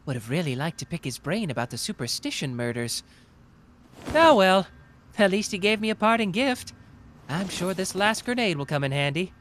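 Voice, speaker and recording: A smug young male detective from an anime audio drama, acted out by a performer, swinging widely in pitch as he picks things apart. He is theatrical, playful and taunting, with some background ambience behind him. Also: sing-song, moderate pace, a bit bright, slight background noise. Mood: musing, calculating. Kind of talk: anime roleplay audio drama, deduction monologue.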